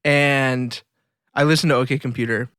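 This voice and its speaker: A man with a medium-pitched voice talks as a podcast co-host in a very dry recording. His style is casual and slangy, like chatting with a friend. He speaks with enthusiasm about his favourites. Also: fairly even intonation, moderate pace, a bit bright, clean tone, dry recording. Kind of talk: casual chat between friends.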